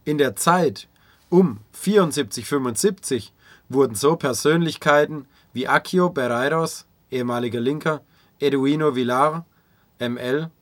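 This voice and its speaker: A man narrating a scripted radio documentary in a measured reading manner. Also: medium pitch, dry recording.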